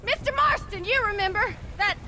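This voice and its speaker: A woman playing a sharp-tongued young ranch woman, sardonic and brisk, in a video game cutscene. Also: very high voice, expressive, background noise. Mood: brittle cheer.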